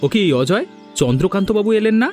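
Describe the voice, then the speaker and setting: A man's voice: high-pitched, lively intonation, quick pace, darkish tone. A man voicing a polite, easily baffled villager in a cartoon, with an earnest delivery. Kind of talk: Bengali fairy-tale cartoon dubbing. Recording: music bed under the voice.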